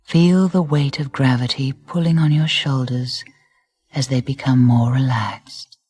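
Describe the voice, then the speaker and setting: A woman narrating a guided meditation in a soft, breathy voice, soothing and deliberate, with gentle phrasing and pauses. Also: rumbling low, steady tone, dry recording. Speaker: relaxation guide. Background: music.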